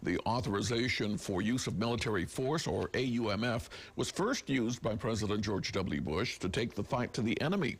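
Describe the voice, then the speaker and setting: A man's voice: lowish voice, animated, moderate pace, few pauses, breathy edge. An American man anchoring a television newscast, reading stories and chatting lightly with colleagues. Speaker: TV news anchor. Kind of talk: broadcast news reading with light co-anchor banter.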